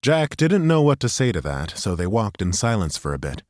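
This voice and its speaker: A man reads a fantasy audiobook in a steady, even storytelling manner. His voice sits low, and the studio sound is dry and close. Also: normal speed, dry recording. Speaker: audiobook narrator. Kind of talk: scripted fantasy narration.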